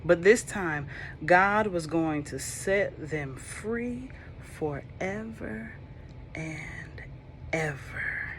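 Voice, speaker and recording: A woman reading a children's Bible storybook aloud, slow and expressive, with soft whispered moments and playful asides to young listeners. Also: fairly low pitch, a little hiss or hum. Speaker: storybook reader.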